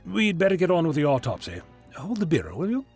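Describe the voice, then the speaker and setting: Man playing a whimsical, animated eccentric Doctor. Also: on the high side, fast, husky, exaggerated voice. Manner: chatty, whimsical, lecturing. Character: genial eccentric alien Doctor, whimsical time-travelling scientist. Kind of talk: audio drama acting. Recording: music behind fan audio drama.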